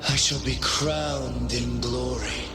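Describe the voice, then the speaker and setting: A man's voice: mid-range voice, fairly even intonation, close to an everyday voice. An actor playing a fallen gladiator turned grandiose, anguished mad king in a video game, speaking in a theatrical, declamatory way. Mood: grandiose, ominous. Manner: theatrical, declamatory, slow and brooding. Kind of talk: dramatic video game voice acting. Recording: loud music over the voice. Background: loud game music.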